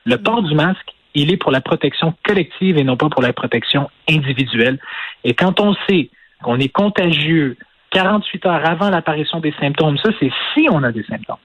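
A man speaking Quebec French as an interview guest and former political adviser, talking in a measured, hedging way with many restarts. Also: fairly high pitch, expressive, a bit bright, slightly rough, no room sound, low-quality recording. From Portugal. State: recovering from illness. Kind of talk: phone-in news interview.

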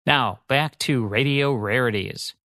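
American male host for scripted radio promotion, medium pitch, light music bed. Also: measured pace, sharp tone.

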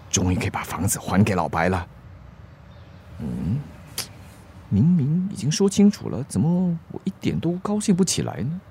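An actor playing a teasing but hesitant young bachelor in a radio drama, halting at times. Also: male voice, fairly low pitch, huge pitch swings, dark tone, heavily acted. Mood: wistful. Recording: clear ambient background noise.

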